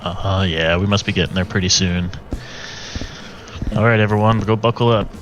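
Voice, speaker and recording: Man playing a male space pilot, lowish voice, informal, grumbly but good-hearted, tabletop game, music behind. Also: steady tone, moderate pace, muffled recording, lightly acted. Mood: sincere.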